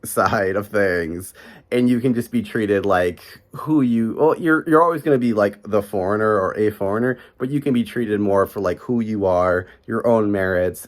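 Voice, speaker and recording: A young man from Chicago with a Midwestern American accent, talking casually to a close camera in a small bedroom and rambling with lots of fillers. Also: fairly low pitch. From Chicago, USA. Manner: rambling, heavy "like" and "you know" fillers. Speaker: vlogger. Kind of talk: casual talking-head monologue. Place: small bedroom in an apartment, indoors.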